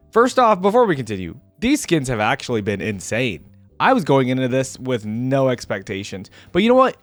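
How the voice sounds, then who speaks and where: A man hosts a casual gaming reaction. His intonation moves up and down freely as he thinks aloud in an informal, exclamatory way. Faint music plays behind his voice. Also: medium pitch. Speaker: video game reaction host.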